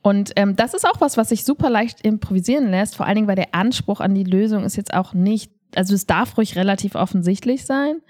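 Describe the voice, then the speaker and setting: A woman's voice: medium pitch, fast talker, few pauses, darkish tone. A woman with a mid-range voice chats casually as a podcast co-host. Her wording is informal and youthful, sprinkled with slang and English words. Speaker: podcast co-host, improv performer.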